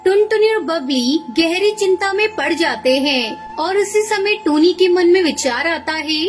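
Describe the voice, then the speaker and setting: A woman narrates a scripted children's tale. Her voice is extremely high. Faint music plays behind her. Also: lively intonation, unhurried. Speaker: story narrator.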